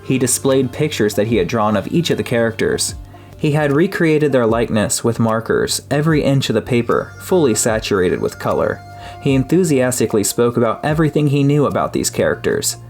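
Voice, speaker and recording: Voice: medium pitch, sing-song, fairly quick, darkish tone. An audiobook narrator reading a scripted story in prose. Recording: music behind the voice.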